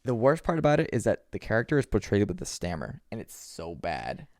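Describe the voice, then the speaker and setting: Guy podcast host w a stammer, really animated pitch, sweary casual chat, dry close sound. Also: a little fast, no room sound. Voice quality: has a stammer.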